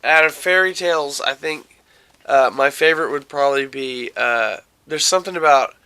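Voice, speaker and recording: A man with a bright, smooth voice, pitched a little high, chats casually as a podcast host. He rambles haltingly, circling back over phrases as he searches for the right words.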